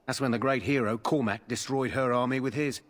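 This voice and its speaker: A man's voice: mid-range voice, steady tone, fairly quick, lightly acted. A man playing a dutiful but gossipy army guard in a fantasy game, telling lore matter-of-factly. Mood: chatty, foreboding.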